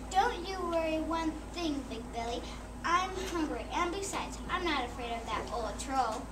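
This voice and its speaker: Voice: sits high, animated, moderate pace. A child performer playing the fearless, hungry littlest billy goat in a school readers theater. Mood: bold, hungry. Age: child, about eight or nine. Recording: echoey, noisy room, far from mic.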